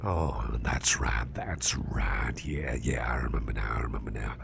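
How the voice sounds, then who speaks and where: A man playing a gruff, flustered boss in an ASMR roleplay, muttering haltingly in a growly, breathy half-whisper and repeating himself. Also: very deep voice, a little hiss or hum, heavily acted. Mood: fretful, rambling. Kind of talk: ASMR roleplay, spoken softly to the listener.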